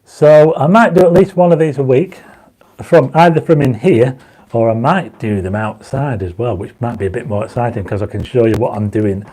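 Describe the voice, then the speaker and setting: Casting: man, croaky dry-mouthed livestream host, mellow tone, chats with viewers. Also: mid-range voice, moderate pace. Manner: reads viewer comments aloud, urges likes; enthusiastic when explaining stars. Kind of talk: casual livestream chat. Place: home astronomy studio.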